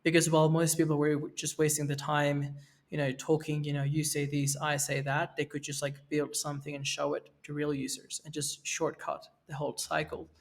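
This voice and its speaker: A man in his thirties from Venezuela, a podcast guest speaking in a relaxed way, with fillers and restarts. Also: fairly high pitch, moderate pace, gravelly. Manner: relaxed, with fillers and self-corrections. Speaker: guest, NFT project co-creator. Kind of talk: casual podcast interview. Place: Mexico, where he is now.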